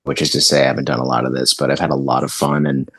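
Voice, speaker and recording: A man chatting casually on a podcast, earnest and a little halting as he repeats words. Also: a bass voice, little pitch movement, a bit bright, slightly rough, dry recording, studio quality. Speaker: amateur voice actor on a team podcast. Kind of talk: casual chat.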